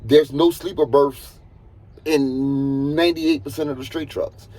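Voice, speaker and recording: A man with a husky voice, talking casually as a truck driver giving earnest, emphatic advice and repeating points for stress. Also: fairly high pitch, steady tone, a little slow, mellow, poor audio. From United States. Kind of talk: casual advisory monologue.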